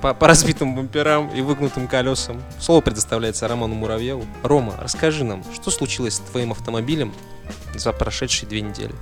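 Male podcast host, wry and teasing, with clear music behind him. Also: medium pitch, fast talker. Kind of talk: hosting, introducing segments.

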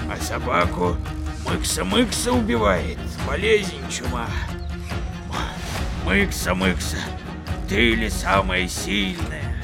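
A man voicing a dying, gluttonous ogre in a folk tale, wailing drawn-out, pleading questions. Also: fairly high pitch, gravelly, voice pushed up, full character voice.

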